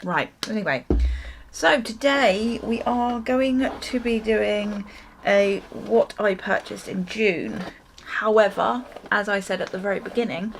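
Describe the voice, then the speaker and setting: A woman's voice: mid-range voice, a little hiss or hum. A woman chatting casually to viewers as she gets ready, rambling and interrupting herself as a vlogger. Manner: rambling, self-interrupting, chuckly. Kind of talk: casual storytime chat while getting ready.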